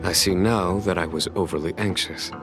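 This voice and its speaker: An actor playing a composed fantasy general in a game, speaking in measured, formal, eloquent phrases. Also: male voice, sits low, fairly even intonation, close to an everyday voice. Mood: courteous. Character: composed, stately male general of a fantasy realm. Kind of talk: dramatic scripted game dialogue. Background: background music.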